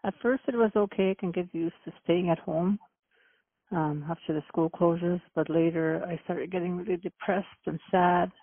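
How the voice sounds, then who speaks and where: A middle-aged woman from Manitoba, Canada, answering interview questions by phone in an earnest, halting way. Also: fairly low pitch, fairly even intonation, no echo. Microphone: telephone. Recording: tinny phone line.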